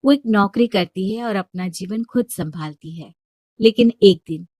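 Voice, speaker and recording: A woman's voice: medium pitch, moderate pace, mellow, breathy edge, no room sound. A woman narrator reading a scripted story.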